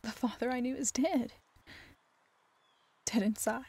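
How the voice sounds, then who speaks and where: A woman playing a troubled young waitress in an audio drama, hushed, breathy and halting, often near a whisper. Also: fairly high pitch, dry recording. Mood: sorrowful, bitter. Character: troubled, wounded young waitress daughter confiding a secret. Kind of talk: audio drama acting, ASMR.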